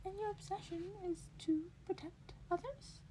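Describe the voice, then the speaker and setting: A woman's voice: extremely high pitch, moderate pace, dark tone, a little hiss or hum, pitched above the performer's own voice, rough recording. A woman voicing a small, furry male creature, a polite and eagerly curious mentor with formal, inquisitive phrasing in an audiobook-style story. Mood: measured, thoughtful.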